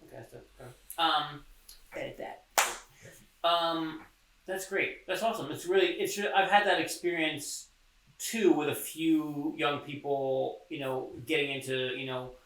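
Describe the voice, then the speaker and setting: A man's voice: fairly high pitch, steady tone, unhurried, fairly bright tone. A man hosting a podcast in casual chat, teasing his guest and laughing often. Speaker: podcast host. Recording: echoey room, distant mic.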